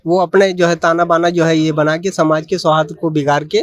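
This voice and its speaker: A man's voice: fairly high pitch, level tone, brisk. A man giving his opinion in an impromptu interview, emphatic and repetitive, leaning on a filler phrase. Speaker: member of the public being interviewed.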